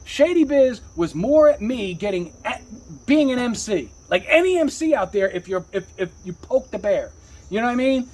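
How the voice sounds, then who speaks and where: A man speaks in a high, rough-edged husky voice that leaps up and down with emphasis. He rambles casually like a rapper telling his own story, repeating himself and peppering it with street slang and curses. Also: expressive, normal speed, a little mellow, rough recording. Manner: emphatic, repetitive, streetwise slangy talk with frequent swearing. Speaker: rapper, interview guest.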